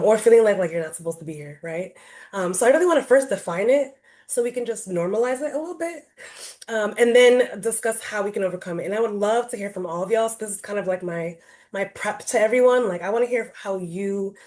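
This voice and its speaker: A woman's voice: mid-range voice, a little mellow. Woman presenter, chatty and informal, lots of fillers, heard over a video call. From Rhode Island, US. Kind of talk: casual online presentation.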